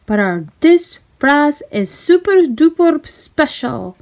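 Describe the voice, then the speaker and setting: Woman voicing a bubbly, high-pitched little girl. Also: big pitch swings, slow pace, creaky, darkish tone, heavily acted. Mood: proud, confident. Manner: bubbly, exclaiming. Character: bubbly little girl twin, proud and confident.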